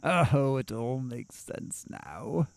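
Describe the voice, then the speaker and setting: An actor playing a sardonic asylum doctor in an audio drama, probing and needling in a confrontational way. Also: male voice, mid-range voice, very animated, drawn-out words, gravelly, no room sound, full character voice. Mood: gloating.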